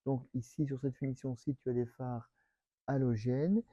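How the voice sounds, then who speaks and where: A Belgian French-accented man presents a car as if chatting with a mate. His voice is relaxed and mellow, with familiar, slangy phrasing. The sound is dry, with hardly any room on it. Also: medium pitch, normal speed, no echo, lo-fi sound. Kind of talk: casual, chatty car walkaround addressed to the viewer.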